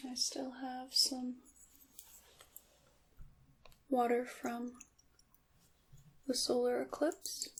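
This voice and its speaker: A woman voicing a mysterious, centuries-old witch shopkeeper in an ASMR roleplay, soft-spoken and breathy, often slipping into whispers, with courteous old-fashioned phrasing and a coy, wry manner. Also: a little high, a little hiss or hum. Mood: apologetic, measured.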